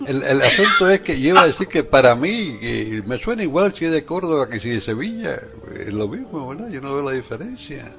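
A man's voice: mid-range voice, sing-song, brisk, few pauses, over music. A man from Cuba with a Cuban Spanish accent, joking as a regular on a radio chat.

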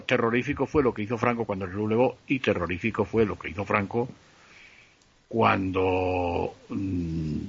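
Spanish man, Spain accent, raspy fry-laden voice, halting explanatory talk, dull lo-fi recording. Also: on the low side. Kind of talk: casual unscripted discussion.

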